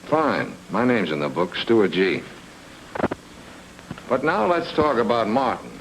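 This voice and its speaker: An actor playing a hard-boiled, sardonic private investigator in a noir radio drama, with dry slangy wisecracks. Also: male voice, lowish voice, very animated, takes it slowly, background noise, full character voice. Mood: probing.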